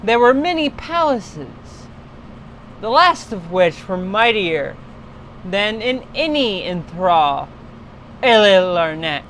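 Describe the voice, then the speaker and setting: A woman reading a literary horror story aloud in a solemn, deliberate, dramatic storytelling style. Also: medium pitch, slight background noise, poor audio.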